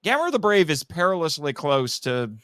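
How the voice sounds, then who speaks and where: A man's voice: fairly high pitch, sing-song, brisk, fairly bright tone, smooth, dry recording, studio quality. A man chatting casually about films as a podcast guest, opinionated and effusive, fond of superlatives. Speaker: podcast guest, kaiju film commentator. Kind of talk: casual film discussion.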